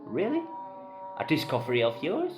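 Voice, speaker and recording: A man's voice: a little high, wildly swooping pitch, normal speed, pitched above the performer's own voice. A man voicing the curious, surprised women of a doctor's family in an amateur play reading, questioning and exclamatory. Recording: voice over prominent background music.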